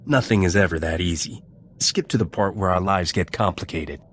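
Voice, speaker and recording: A man's voice: low voice, normal speed, a little hiss or hum, lightly acted. An actor playing a roguish, cynical male starship captain with a teasing, wry manner, in video game dialogue. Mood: skeptical.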